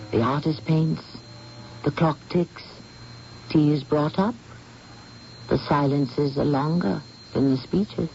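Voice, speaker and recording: A man hosting and narrating an old radio mystery, speaking in a measured, ominous way. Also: fairly high pitch, creaky, a little mellow, slight background noise. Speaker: host and narrator. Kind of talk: scripted dramatic narration. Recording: dull lo-fi old radio recording with music.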